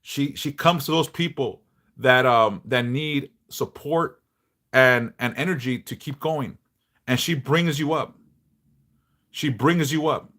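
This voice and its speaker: A middle-aged man a big bass voice, chatting bluntly and passionately on a livestream, swearing freely. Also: takes it slowly, bright, forceful tone. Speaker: livestream host, devotional teacher. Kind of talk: casual livestream chat.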